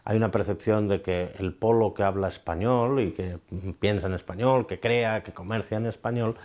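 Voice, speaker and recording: Deep-voiced man, thoughtful and hesitant. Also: fairly even intonation, moderate pace, a little mellow. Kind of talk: interview answer.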